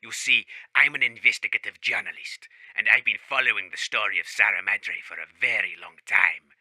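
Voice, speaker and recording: A man's voice: lowish voice, a little fast, bright, forceful tone, no echo, full character voice, low-quality recording. An actor playing a pushy male caller to a radio show who is rude, insistent and full of conspiracy theories. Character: pushy male caller, conspiracy-minded self-styled journalist. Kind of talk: comedy radio-play acting.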